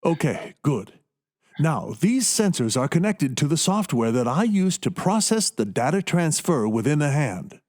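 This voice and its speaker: Man acting as doctor with lively, swooping pitch. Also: measured pace. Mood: calm, directing. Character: male doctor and scientist, calmly taking charge. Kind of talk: radio drama acting.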